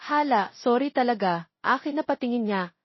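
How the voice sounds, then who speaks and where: A woman's voice: a little high, animated, moderate pace, no echo, muffled recording, pitched above the performer's own voice. A woman voicing a shy, flustered young wife in a romance story, with a Filipino accent. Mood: apologetic.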